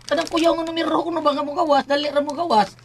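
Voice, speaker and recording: A woman's voice: high voice, steady tone, fast. A woman hosting a radio talk show in casual, chatty conversation.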